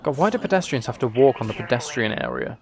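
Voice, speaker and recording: A man's voice: mid-range voice, huge pitch swings, fast talker, vocal fry, crowd noise. A man giving casual, playful gameplay commentary, often talking to himself. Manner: playful, talks and sings to himself. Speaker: game streamer.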